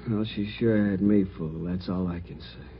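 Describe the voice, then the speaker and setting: An actor playing a beaten robbery victim in a hospital bed, hesitant and sheepish, in a 1950s radio crime drama. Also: male voice, sits low, steady tone, moderate pace. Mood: sheepish, weary. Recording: muffled, noisy 1950s radio recording.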